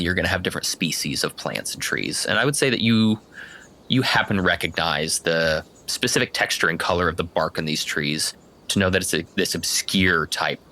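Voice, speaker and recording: A man's voice: sits low, sharp tone. A man narrating a tabletop roleplaying game as its game master.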